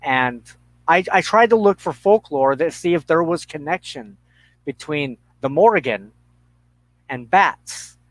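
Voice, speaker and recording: A middle-aged man in a reflective conversation, speaking haltingly and thoughtfully with pauses mid-sentence. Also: a little high, expressive, no room sound, rough recording.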